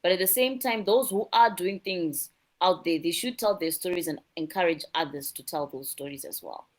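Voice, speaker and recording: A woman's voice: fairly low pitch, moderate pace. A woman with a Zimbabwean accent, a guest speaking earnestly in an interview and often repeating words and asking "right?". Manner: earnest, repeats words when searching, frequent tag "right?". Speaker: guest, youth non-profit founder. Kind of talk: conversational interview.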